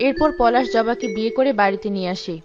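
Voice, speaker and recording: A woman's voice: a little high, fast, dull audio, music in the background. A woman giving scripted narration for a Bengali cartoon story.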